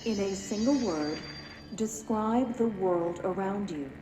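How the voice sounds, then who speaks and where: Actress as a detached clinical doctor, echoey. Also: female voice, mid-range voice, background noise, natural voice. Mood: clinical, measured. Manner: measured, impassive. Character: detached, clinical woman doctor running psychological evaluation. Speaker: psychological examiner. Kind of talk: formal scripted assessment. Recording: echoey room, music behind.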